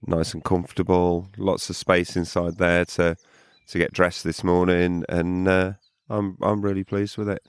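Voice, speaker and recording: A man co-hosting a podcast, chatting casually at a campsite in a morning voice hoarse from drinking, relaxed and rambling, repeating words as he goes. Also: low voice, unhurried, hardly any pauses, no echo. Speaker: podcast co-host. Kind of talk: casual chat outdoors at a campsite.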